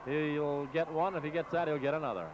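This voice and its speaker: An American man doing live radio basketball play-by-play in clipped, rapid-fire short phrases, on an old AM broadcast. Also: on the high side, fairly even intonation. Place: basketball game in a gym. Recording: muffled noisy 1960s AM radio broadcast.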